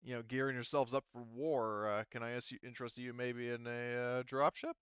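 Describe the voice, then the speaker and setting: A man's voice: medium pitch, little pitch movement, fairly quick, a bit bright, clean tone, dry recording, dull audio. A man running a tabletop game as game master, talking loosely with many fillers over a voice call.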